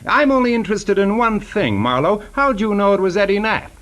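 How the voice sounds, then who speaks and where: A man's voice: sits high, very animated, a little fast, a bit bright. A man playing a curt, self-important character in a 1940s radio noir mystery. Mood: curt, dismissive. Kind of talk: 1940s radio drama acting. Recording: vintage 1940s radio broadcast.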